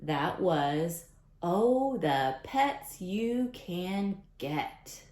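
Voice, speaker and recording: A woman reading a picture book aloud to young children in an expressive way, adding chatty asides directly to her listeners. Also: lowish voice, extremely slow, echoey room.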